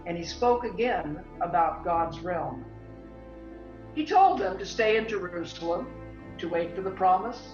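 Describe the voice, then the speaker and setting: A woman narrating a scripted dramatic story with theatrical, deliberate phrasing. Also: on the low side. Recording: echoey room, slightly dull audio. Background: music.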